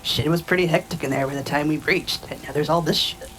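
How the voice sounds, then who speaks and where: A woman playing a tough, blunt orc giantess in a noir audio drama, slangy and unhurried. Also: sits low, fairly even intonation, a bit bright, soft background music, low-quality recording. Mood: tense, resigned. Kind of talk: scripted audio drama dialogue.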